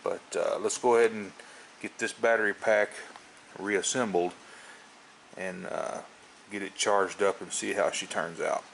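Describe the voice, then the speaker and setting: A middle-aged man giving a casual hands-on tutorial, explaining haltingly and thinking aloud as he works indoors at a table. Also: sits low, creaky, poor audio. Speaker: host of a tool repair video channel. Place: indoors at a wooden table at home. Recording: steady background noise, home video.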